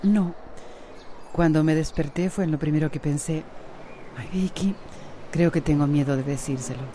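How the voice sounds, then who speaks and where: A woman's voice: low voice, moderate pace, background noise, natural voice. An actress playing a wry, dreamy female friend with a Costa Rican accent in a radio soap opera. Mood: hesitant, earnest. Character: wry, dreamy, secretly pregnant woman friend and confidante.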